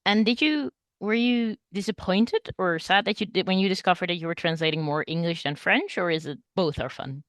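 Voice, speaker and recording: A woman's voice: on the low side, fairly quick, hardly any pauses, a bit bright, no echo. A woman hosting a podcast interview, introducing her guest and asking questions in a friendly, attentive way. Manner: friendly, attentive, frequent backchannel murmurs.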